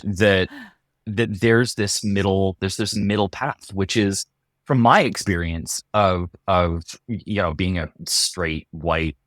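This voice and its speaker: Low-pitched man with a halting delivery. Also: fairly bright tone, no room sound, clean studio sound. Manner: halting, repeats words as he searches for phrasing. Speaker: actor. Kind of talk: casual interview talk.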